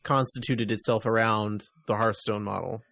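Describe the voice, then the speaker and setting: A man's voice: on the low side, fairly even intonation, normal speed, vocal fry, a bit bright, no echo, clean studio sound. A man hosting a casual gaming podcast, speaking in a wry, conversational way. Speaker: podcast host.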